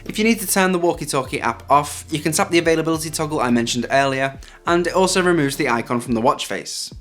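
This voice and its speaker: A man's voice: a little high, fast, fairly bright tone. A man hosting a tech video, narrating a scripted hands-on review. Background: background music.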